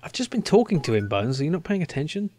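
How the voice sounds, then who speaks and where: A man's voice: on the high side, very animated, quick pace, darkish tone. A man with a British accent giving casual, hesitant game commentary, puzzled and thinking aloud. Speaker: gamer commentating a let's play.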